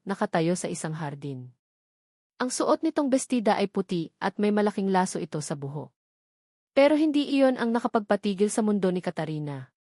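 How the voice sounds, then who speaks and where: A woman's voice: medium pitch, a little mellow, no room sound. Woman narrating a drama, dry close sound. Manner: measured, dramatic storytelling.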